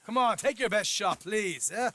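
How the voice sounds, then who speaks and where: A man's voice: high voice, moderate pace, heavy background noise, natural voice. A man playing a boastful, hot-headed young outlaw with an Irish accent, needling and theatrical, in a video game. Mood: cocky. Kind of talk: animated video game acting.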